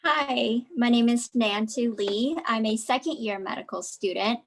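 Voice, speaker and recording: A young woman born in Vietnam, a medical student, introducing herself in a friendly, unhurried way on a video call. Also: on the high side.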